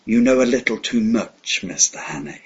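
A man voicing a smooth, menacing old gentleman villain who speaks quietly and with assurance, on a dull lo-fi recording. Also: lowish voice, animated, moderate pace.

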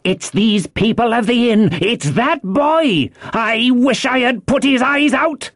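A man's voice: medium pitch, big pitch swings, slow pace, a bit bright, voice pushed up, full character voice. A man voicing a savage old blind pirate leader in an audiobook, roaring and cursing commands. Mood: enraged. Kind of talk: audiobook character voice.

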